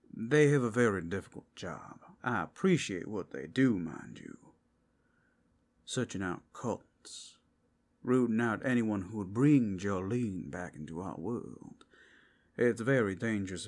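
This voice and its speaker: A man plays a cautious brothel keeper in a fantasy audio drama. His voice is low and gravelly, and he explains himself in a calm, hushed, unhurried way. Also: full character voice. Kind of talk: ASMR fantasy roleplay.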